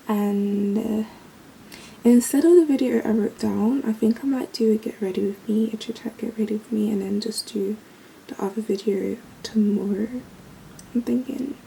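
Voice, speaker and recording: A young woman, chatting casually to camera at home about her day, a little croaky. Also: medium pitch, slight background noise, low-quality recording. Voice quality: slightly croaky in the morning. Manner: relaxed, chatty, thinks aloud. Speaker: vlogger. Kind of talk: casual vlog chat to camera. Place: bedroom at home.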